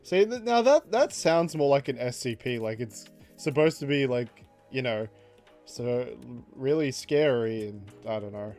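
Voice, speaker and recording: A man casually chatting on a livestream while he draws, reading out chat messages, laughing often and jumping up in pitch for jokes. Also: animated, unhurried, darkish tone, soft background music.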